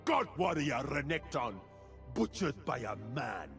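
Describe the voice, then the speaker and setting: A man playing a defiant warrior champion in a fantasy game, speaking in a forceful, declamatory way. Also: medium pitch, big pitch swings, unhurried, vocal fry, faint music behind, heavily acted. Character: boastful mortal warrior champion challenging a god.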